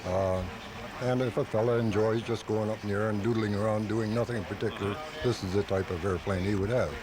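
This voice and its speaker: A man's voice: fairly low pitch, fairly even intonation, fast talker, a crowd in the background. A man being interviewed as a hobbyist on live radio, explaining things casually and plainly. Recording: noisy home-taped live radio.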